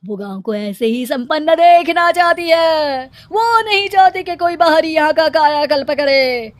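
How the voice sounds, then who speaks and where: An ominous cartoon holy man performed by a woman gives dire warnings. The voice is high and dramatic, with an accusing edge. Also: animated, unhurried.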